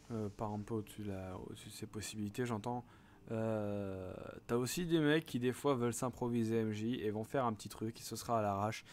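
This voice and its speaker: A young adult man speaks as a co-host in a relaxed chat format. His talk is slangy and rambles along informally. The voice has an average male pitch. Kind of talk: casual chat.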